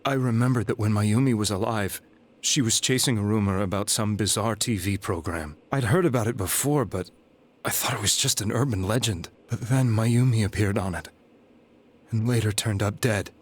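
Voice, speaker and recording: Man voicing a haunted, low-voiced confessing man. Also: natural voice. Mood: grave, subdued. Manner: grave, halting, haunted storytelling. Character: despondent, guilt-ridden man recounting his haunted story. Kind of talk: dramatic video game voice acting, confessional monologue. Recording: background music under the voice.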